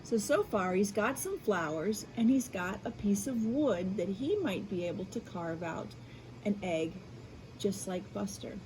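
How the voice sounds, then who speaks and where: An American woman reading a picture book aloud to children in an expressive way, adding explanatory asides. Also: mid-range voice, background noise. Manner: animated, unhurried, addressing children. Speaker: school media specialist reading aloud.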